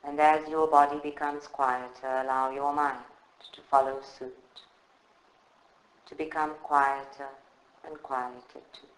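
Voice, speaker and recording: Slow, echoey male meditation guide. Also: medium pitch. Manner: calm, soothing, measured instruction with long pauses. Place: indoor room at a group meditation session. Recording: roomy, echoing live recording.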